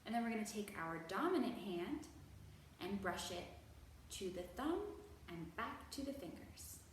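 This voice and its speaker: A woman's voice: medium pitch, sing-song. A woman hosting a children's storytime, teaching young viewers in an encouraging manner and carefully stretching out key words. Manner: encouraging, exaggerated slow enunciation of key words. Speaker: children's library storytime host. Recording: very echoey room, distant mic, faint noise.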